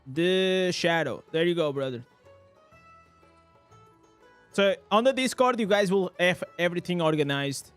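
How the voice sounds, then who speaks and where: A man with a high voice for a man streams a game live, narrating his play in English. He bursts into excited reactions over loot and chats casually with his viewers. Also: a little slow, pauses often, clean tone, faint music behind. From Portugal. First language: Portuguese. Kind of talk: live gameplay commentary.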